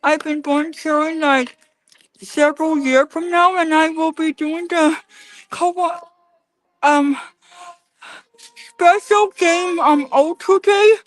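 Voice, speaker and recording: A woman volunteer giving a halting self-introduction, hesitant and clearing her throat. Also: very high voice, dry recording, rough recording. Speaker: event volunteer.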